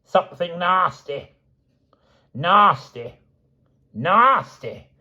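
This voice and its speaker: A man voicing a tyrannical, shrill old grandmother who wails and screams for her family. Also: fairly even intonation, a little slow, breathy edge. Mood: hysterical. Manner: wailing, screaming. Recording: dull lo-fi recording.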